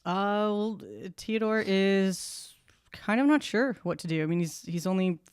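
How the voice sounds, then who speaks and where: A player in a tabletop game describes a character off the cuff in a medium-pitched voice. The delivery is casual and halting, with searching pauses between details. The recording is close and dry. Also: clear, resonant tone, no echo. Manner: hesitant, pausing to search for words.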